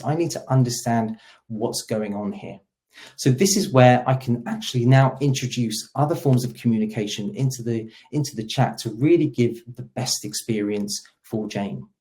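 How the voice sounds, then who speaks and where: A man giving a conversational, explanatory walkthrough of software as a product demo presenter. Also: mid-range voice. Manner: explanatory, role-plays agent dialogue.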